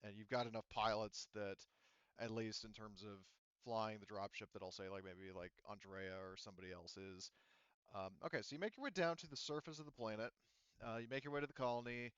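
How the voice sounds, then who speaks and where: A man acting as game master, narrating a roleplaying game in a halting, conversational, improvised way. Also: lowish voice, steady tone, a bit bright, clear, resonant tone, no echo, muffled recording.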